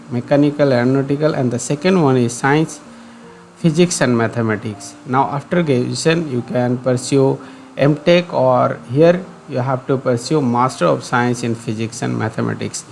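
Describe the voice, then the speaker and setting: Indian-accented man presenting, slightly creaky voice. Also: mid-range voice. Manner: didactic, repeats key points for emphasis. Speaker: career guidance presenter. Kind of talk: scripted explainer narration.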